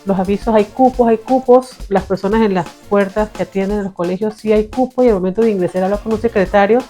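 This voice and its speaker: Earnest mother, mellow voice. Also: medium pitch. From Venezuela. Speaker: migrant mother, psychopedagogue. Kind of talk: documentary testimony.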